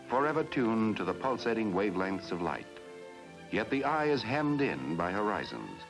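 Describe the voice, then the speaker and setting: A male narrator from a 1950s educational film, with a low voice that rises and falls dramatically. He delivers every line grandly. A loud orchestral score plays under him on an old film soundtrack. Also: creaky, low-quality recording.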